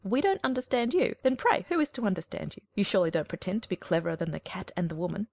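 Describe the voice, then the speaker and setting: A woman voicing a bossy, self-important hen who lectures in a shrill, scolding way. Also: lively intonation, quick pace, vocal fry, a little mellow, dry recording.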